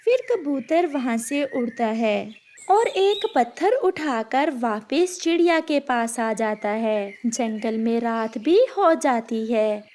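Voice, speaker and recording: A woman's voice: fairly high pitch, animated, slow, a little mellow. A woman giving scripted narration for a cartoon children's story.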